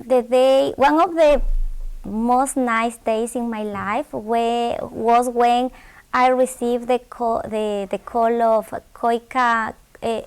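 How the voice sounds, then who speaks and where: A young woman with an Ecuadorian Spanish accent speaks English slowly and haltingly, often repeating words as she restarts her sentences. Her voice is higher than most and sounds smooth and clear. She is answering interview questions about her studies. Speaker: graduate scholarship student.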